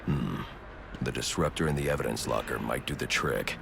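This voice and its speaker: An actor playing a grim, terse masked vigilante in a video game, controlled and intimidating, with a gravelly voice. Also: male voice, rumbling low, moderate pace, noisy background, heavily acted. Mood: focused, terse.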